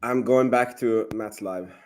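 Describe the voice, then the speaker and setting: Halting man. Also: medium pitch, a little mellow, clean tone. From Hungary. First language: Hungarian. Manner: halting, with repeated false starts. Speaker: livestream co-host. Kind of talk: casual livestream chat.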